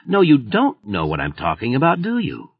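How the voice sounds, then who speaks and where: A man's voice: low voice, big pitch swings, normal speed, slightly rough, exaggerated voice. A man voicing a tense, agitated man under strain who talks urgently and sharply. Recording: muffled lo-fi audio.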